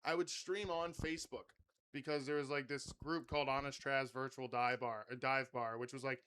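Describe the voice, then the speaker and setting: A man hosting a podcast in casual chat, with a very loud voice and a rambling, swear-laden way of talking. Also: medium pitch, fairly even intonation, normal speed, clear, resonant tone. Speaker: podcast host.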